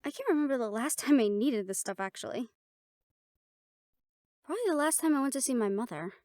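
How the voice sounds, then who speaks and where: A woman voicing a playful, chatty half-siren, half-banshee in an intimate audio roleplay, talking casually and haltingly to a listener. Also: high voice, lively intonation, darkish tone, dry recording, clean studio sound. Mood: fond, musing.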